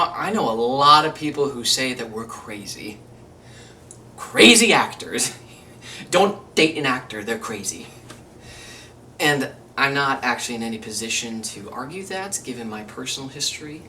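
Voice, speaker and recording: Bright-voiced, exasperated struggling actor, acted by a man. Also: medium pitch. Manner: rambling, emphatic, self-interrupting. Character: exasperated, rambling struggling actor, wry and excitable. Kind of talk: theatrical monologue, self-taped audition. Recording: distant mic, faint room noise.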